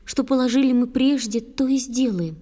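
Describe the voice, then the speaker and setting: A woman playing a gentle, devoted young water-spirit bride, speaking verse tenderly and earnestly. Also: high-pitched, normal speed, long reverb tail, natural voice. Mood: tender, resolute. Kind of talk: dramatic verse recitation. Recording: music under the voice.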